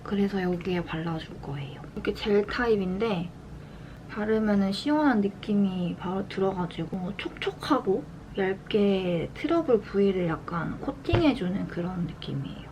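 A young adult woman from South Korea chats casually as a lifestyle vlogger during her daily routine, soft-spoken and unhurried. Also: medium pitch, lively intonation. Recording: clear background room noise.

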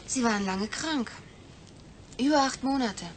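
An actress playing a polite, evasive hospital nurse in a German radio play. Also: female voice, fairly high pitch, lively intonation, unhurried, slight background noise. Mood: calm, attentive.

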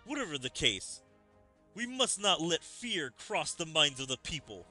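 Guy reading a serious dutiful armored warrior in a game, bright forceful voice, faint music. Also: a little high, big pitch swings, unhurried, full character voice. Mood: thoughtful. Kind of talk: reading game dialogue aloud.

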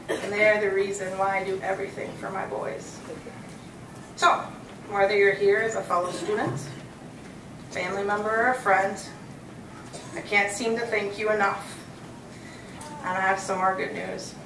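An American woman giving a prepared thank-you speech as a student speaker at a graduation. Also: mid-range voice. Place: hotel hall, at a podium on stage. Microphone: podium mic, captured by far audience camera. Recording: echoey, distant, noisy hall recording.

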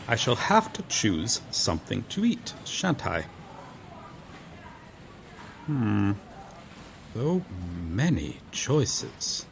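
A man acts out an earnest, noble medieval knight, befuddled but unfailingly courteous. His delivery is theatrical and very animated in pitch, yet unhurried, with archaic formal wording and hesitant pauses. It is an audio roleplay with noticeable background noise. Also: creaky, full character voice. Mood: humble, earnest, then wondering, torn. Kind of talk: ASMR roleplay audio drama, addressing the listener.

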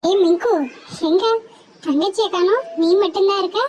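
A woman's voice: extremely high pitch, moderate pace, a little mellow, slight background noise, rough recording. A woman voicing a worried, scolding mother sparrow in a cartoon. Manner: scolding, anxious. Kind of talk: cartoon voice acting.

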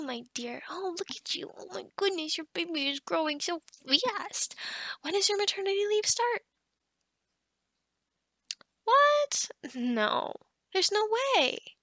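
Bright, high-voiced, bubbly woman boss played by an actress. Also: dry recording, clean studio sound, heavily acted. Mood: excited, caring. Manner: chatty, encouraging, rambling with soft laughs. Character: bubbly, kindly, scatterbrained new office boss, a young woman. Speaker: ASMR roleplay performer. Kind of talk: ASMR roleplay monologue, casual pep talk.

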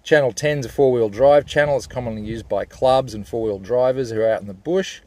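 A man's voice: mid-range voice, fairly quick, a little mellow. A man in his forties with an Australian accent, talking casually and unscripted as a laid-back, colloquial vlogger. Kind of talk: casual, unscripted vlog talk.

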